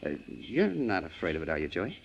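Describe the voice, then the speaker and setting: A wisecracking sleuth from a 1940s radio mystery, his pitch moving freely as he teases and probes. He drawls his hesitations coolly. The recording is muffled and vintage. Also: huge pitch swings, brisk, creaky, slightly rough, heavily acted. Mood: wry, probing. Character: wisecracking, coolly probing male amateur sleuth and sweet-talking suitor. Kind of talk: 1940s radio crime drama acting.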